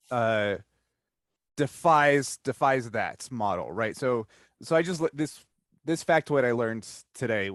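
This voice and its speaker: A man's voice: medium pitch, a bit bright, dry recording, studio quality. A man hosting a casual interview, rambling and interrupting himself as he thinks aloud. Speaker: interview host.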